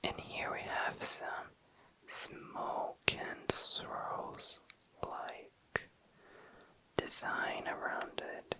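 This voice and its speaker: Very slow whispering ASMR host. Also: pushed and bright, poor audio. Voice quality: very low, breathy whisper. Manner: slow and deliberate, lingering on and repeating words. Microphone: close microphone.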